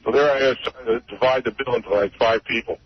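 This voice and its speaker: A man's voice: mid-range voice, steady tone, fast, husky, dry recording, faint music behind. A man calling in to a radio show and casually telling an anecdote. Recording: dull muffled call-in radio audio.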